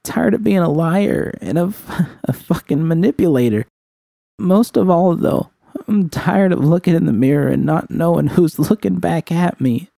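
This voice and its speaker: A man acts out an exhausted woman full of self-loathing in a dramatic story. The voice is lifted high and dry, stammering and halting as it pleads. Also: expressive, creaky, dark tone, no room sound. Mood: anguished, weary.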